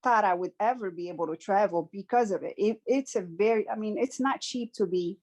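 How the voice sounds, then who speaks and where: A woman speaks in a middle-pitched, conversational way. She is earnest and reflective and often stops to restart a thought. Her delivery is that of a guest telling a personal story in an interview. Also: fairly quick, darkish tone. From Morocco.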